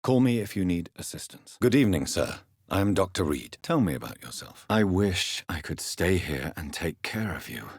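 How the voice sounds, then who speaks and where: A man playing an earnest, stern gentleman doctor of early twentieth-century England, secretly a vampire, in a video game, with formal, measured, intense diction. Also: deep voice, expressive, husky, no echo, clean studio sound, full character voice. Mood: courteous, concerned. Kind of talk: dramatic video game voice acting.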